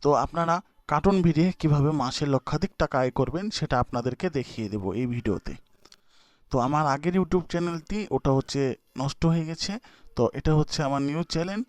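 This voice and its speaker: Smooth-voiced man explaining an app. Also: a little high, quick pace, dry recording. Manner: informal, hesitant, many fillers and restarts. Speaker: YouTube tutorial host. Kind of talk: casual screen-recorded app tutorial.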